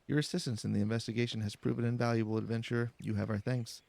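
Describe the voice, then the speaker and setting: A man reading video game dialogue aloud in a calm, slow, deliberate way for a let's play. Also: medium pitch, vocal fry. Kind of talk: calm, slow-paced read-aloud narration. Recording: faint background music. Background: faint game music.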